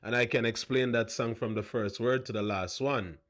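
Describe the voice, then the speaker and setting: A man with a big voice, hosting a casual commentary vlog in a loud, hyped way. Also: medium pitch, moderate pace. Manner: loud, hype, calls viewers fam. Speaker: host.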